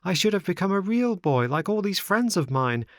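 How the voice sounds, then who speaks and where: A high-pitched wooden puppet boy from a storybook, acted out by a male narrator. He is impulsive and sly, speaking in swooping, exclamatory phrases with wheedling politeness. The sound is close and dry. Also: very animated, normal speed, no echo. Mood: remorseful, indignant. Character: boy marionette, impulsive, remorseful, sly and eager.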